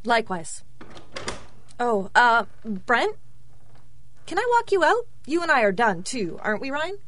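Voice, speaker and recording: A woman's voice: a little high, big pitch swings, measured pace, fairly bright tone. An actress playing a forthright, flirty woman scientist in an audio drama, quirky and exclamatory. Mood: polite, restless.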